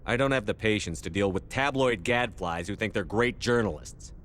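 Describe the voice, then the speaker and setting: A man's voice: lowish voice, moderate pace, fairly bright tone, a little hiss or hum, natural voice. An actor playing a steely male space commander in a video game, speaking in a firm, curt manner. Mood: irritated. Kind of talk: acted game cutscene dialogue.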